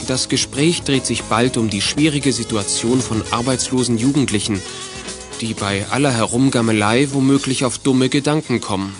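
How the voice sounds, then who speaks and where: A man narrating a 1980s children's detective radio drama in a composed, measured storytelling manner. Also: medium pitch, over music, natural voice. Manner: measured, clearly scripted storytelling.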